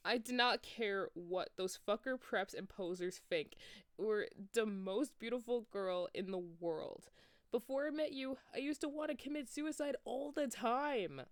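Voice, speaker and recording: A woman's voice: on the high side, animated, unhurried, no room sound, studio quality, voice pushed up. A woman voicing a brooding, lovesick teenage goth boy making earnest, pleading declarations.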